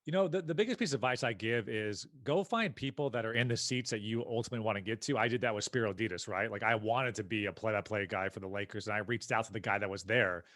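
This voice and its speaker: A man's voice: lowish voice, quick pace, hardly any pauses, a bit bright, no room sound, studio quality. A man with an American accent answering interview questions in a casual, emphatic, opinionated way as a podcast guest. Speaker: podcast guest, company founder and CEO.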